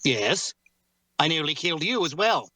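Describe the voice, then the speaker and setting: A man playing a formal, guarded cursed warrior in an audio drama table read, speaking courteously in measured, deliberate phrases. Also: on the high side, big pitch swings, pushed and bright, dry recording, heavily acted. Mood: matter-of-fact.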